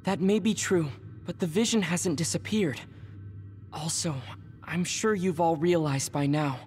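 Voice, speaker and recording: A woman's voice: on the low side, unhurried, darkish tone, faint music behind, lightly acted. A woman playing a cool, skeptical young soldier in a video game, composed and matter-of-fact. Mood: cool, analytical. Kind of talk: scripted video game voice acting.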